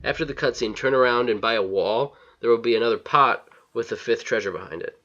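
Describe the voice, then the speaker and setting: A male gamer narrates a walkthrough with step-by-step directions. His voice sits at an average pitch. The voice-over recording is a little dull and lo-fi. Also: fairly quick, a bit bright. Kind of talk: instructional game walkthrough narration.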